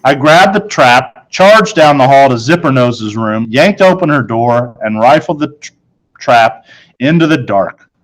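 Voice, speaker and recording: A man's voice: medium pitch, expressive, a little slow, low-quality recording. A man reading a children's novel aloud as its first-person narrator. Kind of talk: read-aloud story narration.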